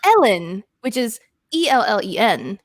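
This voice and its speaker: A woman's voice: fairly high pitch, very animated, measured pace, no echo, studio quality. A woman hosting a podcast, chatting casually and laughing often as she spells words out. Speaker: podcast host.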